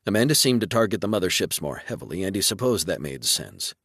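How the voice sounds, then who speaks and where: A man's voice: low-pitched, sing-song, quick pace, fairly bright tone, slightly rough, no echo, clean studio sound. A man reading scripted narration for a military science fiction audiobook.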